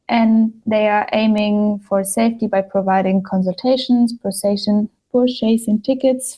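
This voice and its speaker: Mellow-voiced woman podcast host. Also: medium pitch, rough recording. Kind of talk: reading an introduction.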